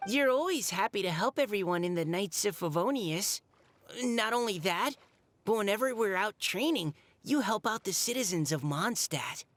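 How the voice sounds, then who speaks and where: A woman's voice: mid-range voice, expressive, normal speed, natural voice. A woman voicing a modest, earnest teenage boy soldier in a video game, sincere, polite and a little hesitant.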